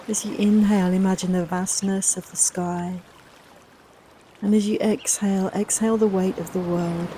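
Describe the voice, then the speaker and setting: A woman leading a guided relaxation meditation in a soft, soothing, unhurried, reassuring manner, with long pauses between phrases. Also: mid-range voice, a little hiss or hum. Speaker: guided meditation and yoga nidra teacher.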